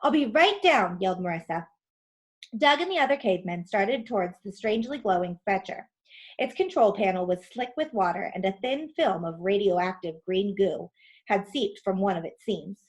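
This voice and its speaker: A woman reads a children's story aloud as narrator. Her delivery is expressive, and her voice sits at a middle pitch. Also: moderate pace.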